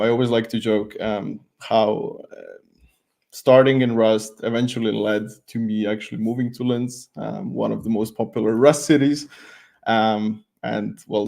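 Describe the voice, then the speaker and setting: Gravelly-edged young man presenting. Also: on the low side, a little mellow. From Croatia. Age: 20s. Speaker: conference speaker, developer advocate. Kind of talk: informal tech talk presentation. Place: at home, makeshift desk setup, remote video call.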